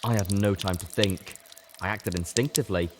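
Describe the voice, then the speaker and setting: A man with a low voice narrates fantasy fiction with theatrical intensity. He reads in a storytelling style, over clear background noise. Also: steady tone, normal speed. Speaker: reader of a fan fiction story. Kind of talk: dramatic audiobook narration.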